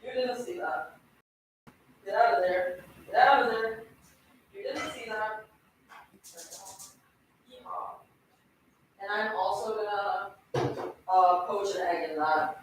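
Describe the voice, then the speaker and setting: A woman's voice: medium pitch, takes it slowly, pauses often, distant mic, a little hiss or hum. A young woman from Turkey chatting with her live stream audience while she cooks, giggly and talkative, speaking to the food as she goes. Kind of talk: casual live stream chat while cooking. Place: home kitchen. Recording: echoey room, mic set back.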